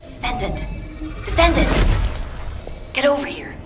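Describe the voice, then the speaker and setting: A woman's voice: high-pitched, very animated, measured pace. An actress voicing a brash, driven woman field operative in a video game. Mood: calling out. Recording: roomy, distant, under loud game music. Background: loud game music.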